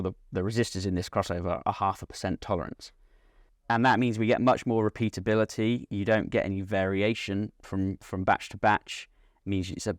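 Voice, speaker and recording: A man speaking as a company representative in an interview, giving measured, unscripted technical explanations of a product. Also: fairly low pitch, no room sound, clean studio sound. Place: at a hi-fi trade show.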